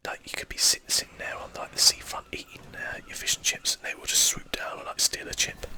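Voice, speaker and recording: A man with a southern English accent whispers casual, chatty ASMR game commentary in a breathy, unvoiced voice, musing aloud as he plays. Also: a little fast, pushed and bright. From southern England, UK. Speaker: host of an ASMR gaming channel.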